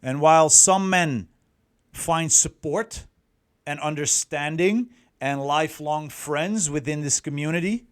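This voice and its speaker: A middle-aged man with a Dutch accent presenting as a coach, explaining things to viewers and often pausing to search for words. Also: fairly high pitch, clean tone. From Netherlands. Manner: halting, word-searching, self-correcting delivery. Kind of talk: explanatory online talk to viewers.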